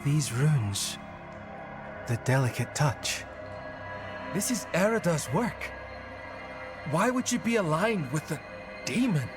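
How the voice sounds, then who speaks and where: An actor playing an earnest, studious young druid who sounds unsure of himself, in a video game. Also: male voice, on the high side, big pitch swings, takes it slowly, long pauses, music in the background. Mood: troubled. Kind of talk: video game dialogue acting.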